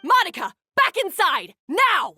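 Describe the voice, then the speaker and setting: An actress playing a harsh, domineering head chef in a video game, haughty, scolding and commanding. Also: female voice, extremely high pitch, huge pitch swings, unhurried, pushed and bright, no echo, full character voice. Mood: angry, scolding.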